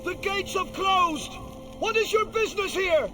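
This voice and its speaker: An actor voicing a shrill, commanding fantasy villain with theatrical, drawn-out delivery. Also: male voice, noisy background. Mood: gloating, imperious.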